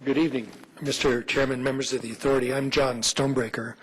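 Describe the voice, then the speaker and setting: A man with a rough, hoarse voice from laryngitis, an attorney making a formal argument before a licensing board, with many fillers. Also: mid-range voice, little pitch movement, fast talker, low-quality recording. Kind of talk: formal legal argument at a hearing. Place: city licensing hearing room.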